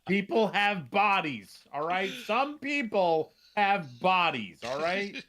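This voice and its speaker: Lively man in casual chat. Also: high voice, slow. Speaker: podcast guest.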